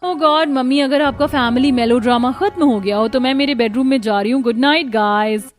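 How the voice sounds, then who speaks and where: Woman playing a haughty high-pitched young diva. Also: moderate pace, lightly acted. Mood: bored, haughty. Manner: haughty, dismissive, teasing. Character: conceited, brash, modern young college woman, mocking. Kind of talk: Hindi cartoon story voice acting.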